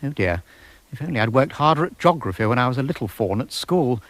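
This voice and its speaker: A man's voice: medium pitch, lively intonation, fast talker, dry recording, lightly acted. A British man playing a timid, polite faun in a radio drama, hesitant and courteous, easily flustered and eager to please. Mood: apologetic, courteous. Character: timid, polite, excitable male faun, fussy and hospitable.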